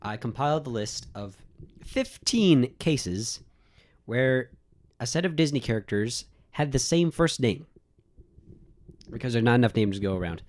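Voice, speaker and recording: A man's voice: medium pitch, expressive, moderate pace, pauses often, darkish tone. A nineteen-year-old man chatting casually on a podcast, rambling through nerdy trivia. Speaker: podcast co-host. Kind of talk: casual chat.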